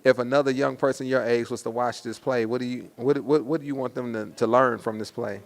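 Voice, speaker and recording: A man's voice: mid-range voice, fairly even intonation, brisk, vocal fry. A man hosting a promotional interview in slangy hype talk, often ending phrases with "man". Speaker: host.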